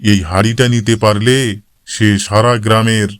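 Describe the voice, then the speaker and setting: A man's voice: fairly low pitch, fairly even intonation, a little slow, clean tone, no echo. A man reading scripted narration for a cartoon moral story as the storyteller.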